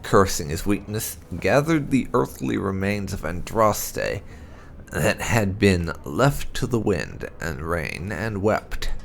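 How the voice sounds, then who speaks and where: A man narrating a video game playthrough, reading lore aloud in an expressive, measured storytelling way with emphatic phrasing. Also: lowish voice, gravelly. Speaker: gaming channel narrator.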